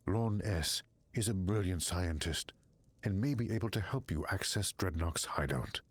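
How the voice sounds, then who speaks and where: An actor playing an earnest, devoted alien in an animated video game. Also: male voice, low voice, moderate pace, breathy edge, no room sound. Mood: pleading. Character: deep, husky alien, earnest, devoted to missing partner.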